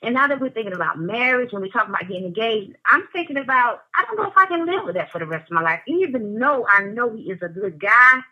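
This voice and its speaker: A woman's voice: fairly high pitch, big pitch swings, quick pace, few pauses, muffled recording. A woman in her forties calling in, talking candidly and laughing, with dramatic exclamations. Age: 40s. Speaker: caller. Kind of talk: casual chat.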